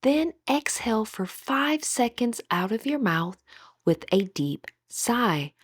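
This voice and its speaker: A woman with a breathy voice gently leading a guided meditation as an instructor, in measured, scripted phrases. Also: fairly high pitch, big pitch swings, dry recording, studio quality.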